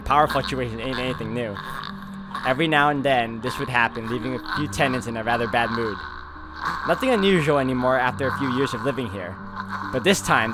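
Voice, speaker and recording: A man's voice: medium pitch, poor audio. A man hosting a gaming video, reading a visual novel aloud in a theatrical, suspenseful way. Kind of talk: dramatic read-aloud of visual novel game with player commentary. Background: game music.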